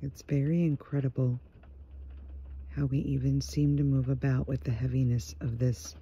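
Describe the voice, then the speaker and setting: A man leading a guided meditation in a gentle, hushed voice, leaving unhurried pauses between short phrases. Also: medium pitch, little pitch movement, slightly rough. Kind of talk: guided meditation, slow soothing narration. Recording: muffled, dull home recording with faint noise.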